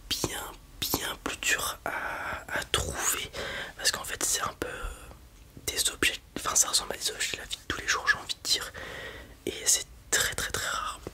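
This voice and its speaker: Brief: whispered ASMR roleplay voice, any sex, breathy and soft, hesitant explainer playing a dragon breeder. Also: normal speed.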